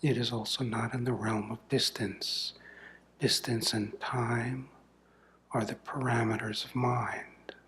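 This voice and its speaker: A man leading a guided meditation as a spiritual teacher, speaking softly and deliberately in measured phrases with long pauses, often breathy and trailing toward a whisper. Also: medium pitch, steady tone. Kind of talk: guided meditation, contemplative satsang talk.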